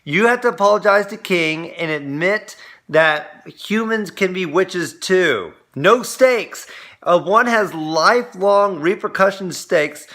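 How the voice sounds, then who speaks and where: A man with a bright, forceful voice pitched on the high side talks through a review in a casual way. He explains earnestly and haltingly, feeling his way from point to point. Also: animated. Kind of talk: casual episode review chat.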